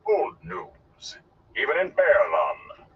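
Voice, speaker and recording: A man voicing a flamboyant, haughty gleeman in an audiobook, with a theatrical, drawn-out and declamatory delivery. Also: very animated, distant mic, highs cut off, a little hiss or hum, natural voice. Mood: dismissive. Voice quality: deep, resonant. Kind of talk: audiobook character voice.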